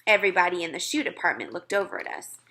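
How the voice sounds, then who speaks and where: A woman narrating a children's story as an expressive read-aloud. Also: on the low side, quick pace.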